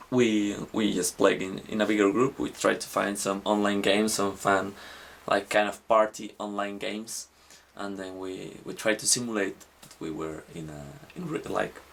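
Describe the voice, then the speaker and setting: Gravelly, flat-voiced young man. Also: on the low side, normal speed. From Spain. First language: Spanish. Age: 20s.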